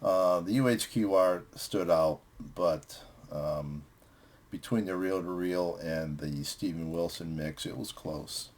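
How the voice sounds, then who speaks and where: A man's voice: low-pitched, slow pace. A man hosting a music vlog, chatting casually as he reviews records with friends.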